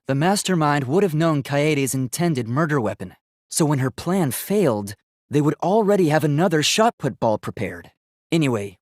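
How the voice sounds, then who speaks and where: An earnest young robot student from a video game, acted by a man, with a fairly high male voice. He speaks precisely and politely, reasoning in formal, logical phrases. The recording is dry and close. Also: no room sound, natural voice.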